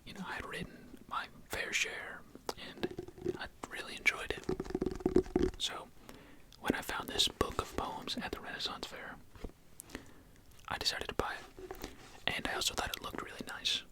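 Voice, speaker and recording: Male ASMR host, fully whispered delivery, gentle and unhurried. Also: rough recording. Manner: soft, unhurried whisper with casual phrasing. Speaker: host of an ASMR video channel.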